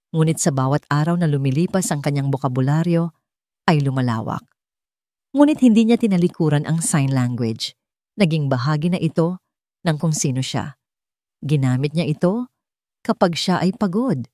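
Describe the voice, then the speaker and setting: Low, mellow Filipino woman narrating. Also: no echo, studio quality. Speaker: audiobook narrator. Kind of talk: dramatic scripted story narration.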